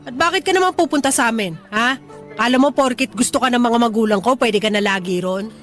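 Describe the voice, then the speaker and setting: A prickly, tomboyish young woman, played by an actress in a radio drama, firing off curt and scornful comebacks. Her voice sits high, with faint music running underneath. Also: a little fast, a bit bright, lightly acted.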